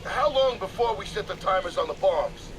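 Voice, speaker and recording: High-voiced henchman. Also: lively intonation, moderate pace, over music, rough recording. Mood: compliant. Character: obedient, hesitant male henchman. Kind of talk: video game henchman acting.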